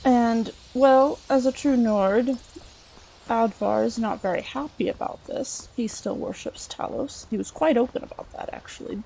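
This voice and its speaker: A woman's voice: a little high, gravelly, warm tone, a little hiss or hum, rough recording. A woman playing an earnest, polite, inquisitive scholar adventurer in a video game, chatting and musing aloud with some hesitation. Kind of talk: improvised in-character let's play monologue.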